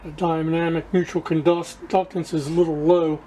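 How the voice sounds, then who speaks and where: A man giving a casual hands-on demonstration to viewers as a hobbyist, haltingly searching for words and repeating himself. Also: on the high side, level tone, background noise. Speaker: radio restoration hobbyist presenting to viewers.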